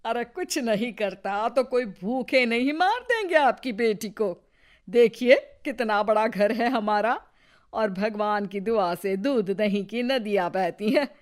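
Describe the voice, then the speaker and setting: A woman's voice: a little high, expressive, takes it slowly. A woman playing a proud, suspicious middle-aged mother-in-law in a cartoon, scolding with indignant emphasis. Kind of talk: animated Hindi family drama acting.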